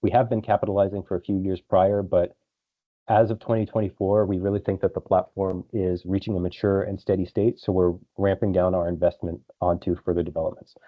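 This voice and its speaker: Male corporate presenter, very low voice, businesslike financial briefing, muffled lo-fi conference call sound. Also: little pitch movement, brisk. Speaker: company executive presenting results. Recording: dull lo-fi conference call audio.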